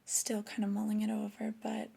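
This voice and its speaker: Woman acting flirty lady boss, asmr roleplay, super breathy half whisper, crackly fry, gentle and disapproving. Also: medium pitch, monotone, normal speed. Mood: reluctant, stern. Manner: gentle, hesitant, intimate delivery. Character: flirty female boss, disapproving yet coaxing. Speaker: ASMR performer. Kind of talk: soft-spoken ASMR roleplay.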